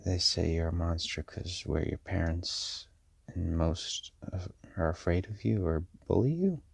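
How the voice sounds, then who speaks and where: A very low-voiced werewolf father, acted by a man, talks to his child with firm, measured care. His audio roleplay voice is gravelly and sits close and dry, protective and stern at once. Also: no echo, heavily acted. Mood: serious, protective. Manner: measured, parental, gently firm.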